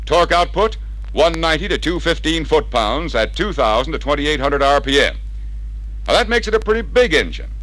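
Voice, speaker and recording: An American man narrating a scripted 1960s car sales training film in an emphatic, persuasive, crisply enunciated way. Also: on the high side, a bit bright, poor audio. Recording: vintage 1961 training record sound.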